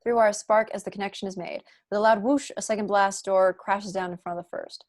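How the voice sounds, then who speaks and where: A woman's voice: medium pitch, steady tone, brisk, darkish tone. A young adult woman reading scripted stage directions as narrator at an online table read. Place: room at home, video call.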